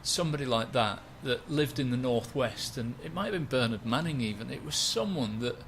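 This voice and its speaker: A man, a stand-up comedian chatting in a relaxed, rambling, self-mocking way. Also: mid-range voice, brisk, slight background noise. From West Yorkshire, England. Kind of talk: relaxed interview chat.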